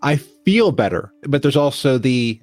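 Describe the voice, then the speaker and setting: Chatty podcast host, dry close mic. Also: male voice, medium pitch, animated, a little slow, a little mellow, no echo, faint music behind. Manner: enthusiastic, chatty. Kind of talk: casual podcast interview. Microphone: studio dynamic mic.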